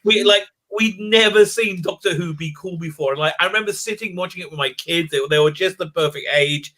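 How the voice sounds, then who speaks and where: A middle-aged man chatting casually as a podcast panelist, halting and opinionated as he talks. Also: sits high, fairly bright tone, low-quality recording. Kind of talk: casual fan chat.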